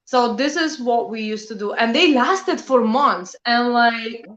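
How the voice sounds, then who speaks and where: A woman's voice: on the high side, measured pace, muffled recording. A woman in her thirties from Poland, with a Polish accent, chatting casually as a guest and telling stories with lots of fillers. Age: 30s. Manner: animated storytelling, many fillers like "you know". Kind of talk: casual chat.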